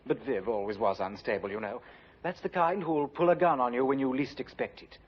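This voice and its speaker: An actor playing a pompous, flippant British upper-class gentleman in a 1950s radio drama, with clipped delivery. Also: mid-range voice, brisk, husky, lightly acted. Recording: muffled old radio, loud music behind.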